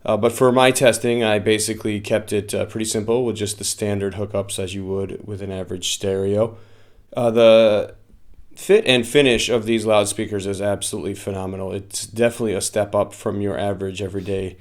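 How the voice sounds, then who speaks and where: A man giving a casual product review in a halting way, often pausing mid-phrase. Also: lowish voice, fairly even intonation. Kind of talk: semi-scripted casual review.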